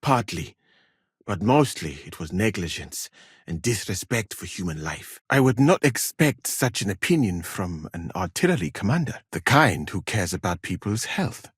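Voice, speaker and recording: An actor playing a learned, well-travelled West African physician in a video game, speaking formally and precisely with dry wit and a husky voice. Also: male voice, deep voice, big pitch swings, dry recording, heavily acted. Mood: calm, explanatory. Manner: formal, measured, precise diction with dry irony. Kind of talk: video game character dialogue.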